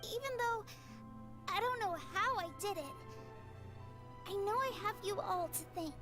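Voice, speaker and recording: An eager young girl who loves running. Her voice is extremely high and swings widely as she speaks in an earnest, heartfelt way. Game music plays behind her. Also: expressive, a little slow.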